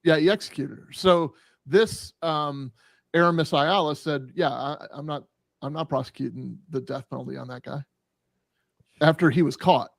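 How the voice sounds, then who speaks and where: A man's voice: on the high side, normal speed, vocal fry, no echo. A man on a podcast casually explaining a news story, retelling exchanges as quoted dialogue. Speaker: podcast panelist.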